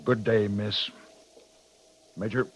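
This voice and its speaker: An American actor playing a headstrong, blunt cavalry captain with clipped military formality, in a 1950s radio western. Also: male voice, on the low side, steady tone, slow pace, very noisy. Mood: formal, reserved. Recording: dull lo-fi 1950s radio recording.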